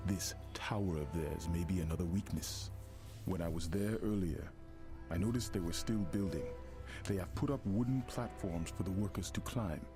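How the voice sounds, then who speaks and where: A man voicing a grave, scornful rebel scout in a fantasy game, speaking in solemn, measured, formal phrases. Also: low voice, music in the background.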